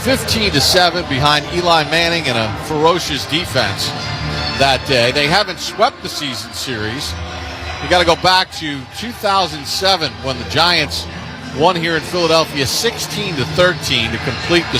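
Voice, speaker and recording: A man with a somewhat high voice announces football on the radio. He speaks in measured, clipped descriptive phrases, with music clearly mixed in behind him. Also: animated. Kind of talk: sports play-by-play commentary with scripted sponsor reads. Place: stadium broadcast booth.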